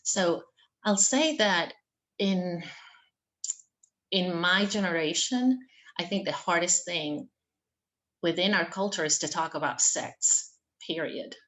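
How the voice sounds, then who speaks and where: A woman's voice: on the low side, slow, pauses often, a bit bright, rough recording. A woman from Venezuela, a church formation director, speaking reflectively on an online panel. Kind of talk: panel discussion. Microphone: video call.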